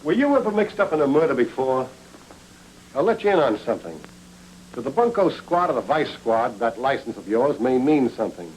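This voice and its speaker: An actor playing a stern, needling homicide lieutenant, clipped and sardonic, in 1940s film noir style. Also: male voice, medium pitch, very animated, fairly quick, darkish tone, natural voice. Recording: noisy old film soundtrack with hum.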